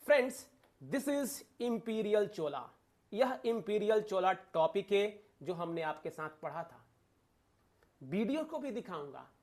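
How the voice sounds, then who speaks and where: A man's voice: high-pitched, very animated, slow pace, darkish tone. A man with an Indian accent teaching an exam coaching lesson, explaining emphatically, repeating points and asking rhetorical questions.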